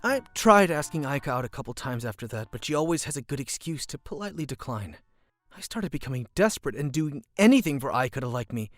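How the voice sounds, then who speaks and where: Manga dub lead: man voices a shy, earnest high school geek with a lively pitch range, over light music. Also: fast, no room sound. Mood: glum, reflective. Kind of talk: manga dub narration and dialogue.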